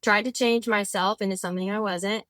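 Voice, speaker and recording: A woman in her twenties talks as a podcast guest, circling her thoughts with "like" and quick self-corrections. Her voice sits at an average pitch. The sound is dry and close, with no room to it. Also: steady tone, a bit bright, no room sound. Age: 20s. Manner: chatty, rambling, frequent "like" fillers and self-corrections. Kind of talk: casual conversational interview.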